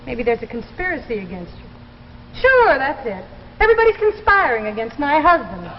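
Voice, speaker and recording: An actress playing a bitter, nagging wife with an American accent and biting sarcasm, in 1950s melodrama style. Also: female voice, high-pitched, very animated, brisk. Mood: scornful, nagging. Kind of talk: 1950s melodramatic anthology acting. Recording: muffled, reverberant 1950s broadcast with noise.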